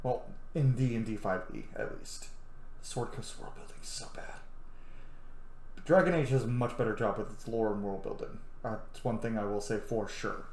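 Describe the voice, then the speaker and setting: A man's voice: fairly low pitch, normal speed, a little mellow. Echoey man chatting through a game. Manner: gushing, opinionated, swears casually. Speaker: gamer commenting on a playthrough. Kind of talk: casual gameplay commentary. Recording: roomy echo, faint background noise.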